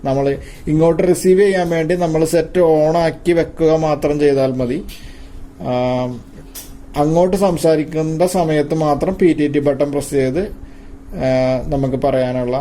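A man giving an informal hands-on gadget demonstration, haltingly and repeating his phrases. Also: a little high, steady tone, warm tone, a little hiss or hum. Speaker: tech video presenter.

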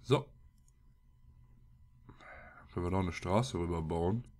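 A man's voice: low-pitched, takes it slowly, a little mellow. A man with a cold and a scratchy throat, giving casual, colloquial gameplay commentary as he mutters to himself. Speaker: let's play host.

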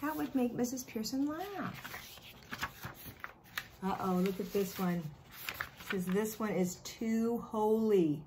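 A woman's voice: on the high side, takes it slowly, pauses often, noisy background. A middle-aged American woman, a kindergarten teacher, talking expressively and simply to young children from her living room. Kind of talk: read-aloud and chat to young children.

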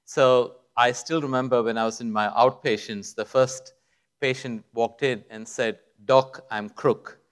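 A man's voice: medium pitch, fairly even intonation, unhurried, clean tone. A man in his fifties, born in Kuwait to South Indian parents, with a mixed Indian and Middle Eastern accent, giving a friendly, lightly humorous talk at a podium mic in a large auditorium. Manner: friendly storytelling with light humour. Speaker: physician giving a testimony talk. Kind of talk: conference talk.

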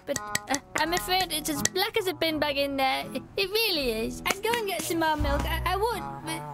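A man's voice: extremely high pitch, a little fast, over loud music. An actor playing a timid, helpless big man with a Northern English accent, hesitant and stammering, in a cartoon game. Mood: timid pleading.

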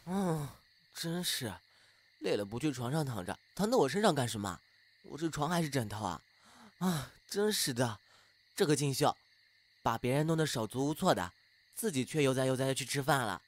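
A man's voice: mid-range voice, expressive, moderate pace, close to an everyday voice. A man playing a flustered, bashful, grumbling young housemate in an audio drama. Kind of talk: Chinese fan audio drama acting.

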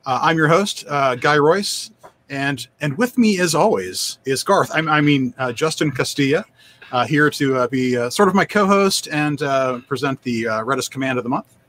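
An older man, hosting a casual live stream in a halting, self-deprecating way. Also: mid-range voice, fairly bright tone, slight background noise. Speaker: host, developer advocate. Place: indoor home room, on a video call.

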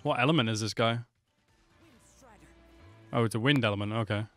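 A man's voice: on the low side, a little fast, fairly bright tone. Young man reacting casually, music behind. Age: 20s. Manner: slangy, easily impressed. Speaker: YouTube reaction host. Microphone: close desk microphone with pop filter. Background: music from game trailers.

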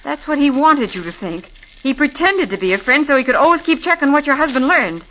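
A woman's voice: high voice, expressive, brisk, a bit bright. An actress playing a determined young woman assisting a detective in a 1940s radio drama. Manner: eager, determined. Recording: dull old radio recording with faint hiss.

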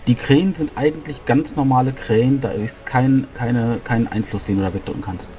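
Quick-talking man explaining game rules. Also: mid-range voice, mellow. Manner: corrective, explanatory. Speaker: tabletop game player. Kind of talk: casual rules discussion during a game. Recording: faint music under the voice. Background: faint music.

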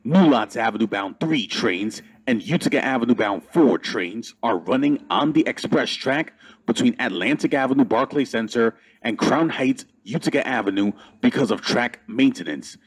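A man reading scripted transit service announcements as an announcer, in a formal, measured manner. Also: medium pitch, expressive, breathy edge, low-quality recording.

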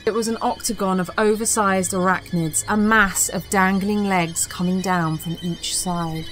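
A woman's voice: mid-range voice, steady tone, measured pace, a bit bright, soft background music. A woman reading a scripted horror audiobook as narrator, with a dramatic, suspenseful delivery.

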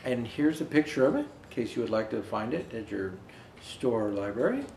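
A man's voice: mid-range voice, big pitch swings, moderate pace, a little mellow, a little hiss or hum. An older man in the role of a Santa storyteller, reading a children's picture book aloud expressively with asides to viewers. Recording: roomy indoor echo.

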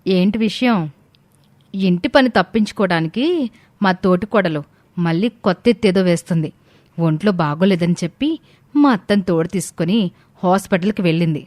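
A woman's voice: fairly low pitch, normal speed, long pauses, a little mellow, no echo, lightly acted. A woman playing a jealous, scheming young wife in a family story, complaining and sarcastic. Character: jealous, bitter young married woman, scheming sister-in-law.

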